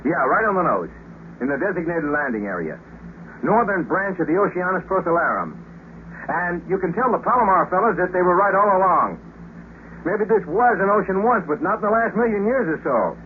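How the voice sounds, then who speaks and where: Brash American astronaut, tinny old radio. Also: male voice, high voice, very animated, fairly quick. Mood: breezy. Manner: breezy, sardonic, colloquial. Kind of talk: 1950s radio drama acting. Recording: noisy phone-like 1950s radio recording.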